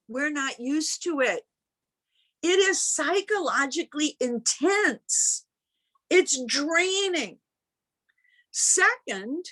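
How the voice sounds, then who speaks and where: A woman minister delivers a sermon in a high-pitched voice. Her pace is slow and deliberate, with emphatic phrasing and pauses between thoughts. She is speaking to her congregation over a video call. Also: sharp tone. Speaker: minister preaching.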